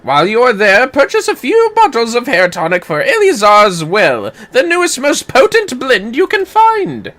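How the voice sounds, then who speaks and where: A performer voicing a flamboyant, pompous gentleman inspector from a video game, with grandiose, florid delivery. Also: medium pitch, huge pitch swings, normal speed, hardly any pauses, pitched above the performer's own voice. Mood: self-satisfied. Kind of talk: theatrical voice-acting of game dialogue.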